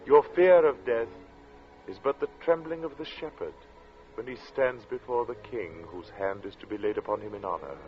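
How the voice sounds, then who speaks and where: An actor playing an earnest narrator of a strange tale, speaking in a measured, theatrical 1940s radio drama style. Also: male voice, medium pitch, a little hiss or hum, close to an everyday voice. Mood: solemn, intense. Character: earnest male narrator recounting a strange encounter. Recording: muffled vintage radio recording with music.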